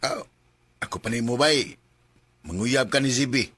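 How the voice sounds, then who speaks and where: A man's voice: medium pitch, a little slow, fairly bright tone. An actor playing an easygoing male friend who teases his buddy over drinks in a radio drama. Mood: teasing. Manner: teasing, easygoing.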